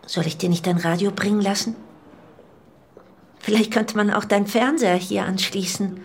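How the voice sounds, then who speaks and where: Husky, uneasy wife. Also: female voice, mid-range voice, fairly even intonation, moderate pace. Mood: uneasy, solicitous. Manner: hesitant, solicitous. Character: uneasy, apologetic wife visiting her sick husband. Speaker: actress. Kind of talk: radio drama acting.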